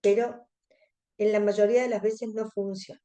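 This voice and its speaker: A woman's voice: medium pitch, flat delivery, moderate pace, dark tone. A woman with a Cordobese accent from Argentina, presenting an educational webinar and explaining things to fellow specialists. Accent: Cordobese Argentine Spanish. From Córdoba, Argentina. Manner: explanatory, addressing colleagues. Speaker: presenter, contact lens specialist.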